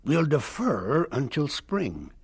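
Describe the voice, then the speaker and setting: A man acting a self-assured older college president in an audio drama, ponderous and hesitant, with a gravelly voice. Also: a little high, huge pitch swings, slow, full character voice. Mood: smug, reassuring. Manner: hesitant, ponderous, hemming and hawing.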